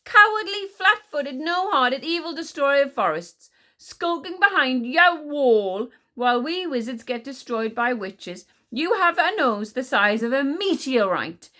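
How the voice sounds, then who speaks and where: Woman playing a loud, defiant young boy. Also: high-pitched, lively intonation, measured pace, voice pushed up, heavily acted. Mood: insulting, furious. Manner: shouting, insulting, boastful. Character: rude, defiant hot-headed wizard boy.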